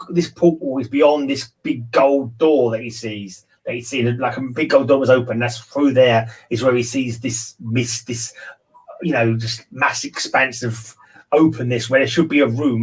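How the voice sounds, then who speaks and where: A man's voice: mid-range voice, rough recording. Man rambling through a casual book discussion. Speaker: discussion panelist.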